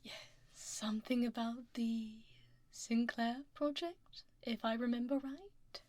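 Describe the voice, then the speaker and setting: Breathy, commanding dragon lady boss played by a woman. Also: on the high side, fairly even intonation, no room sound. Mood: teasing, probing. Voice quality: soft, breathy, whispery. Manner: polished, slow, politely menacing. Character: powerful dragon lady executive, poised and condescending. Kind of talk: ASMR roleplay, soft half-whispered delivery. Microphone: close binaural ear microphone.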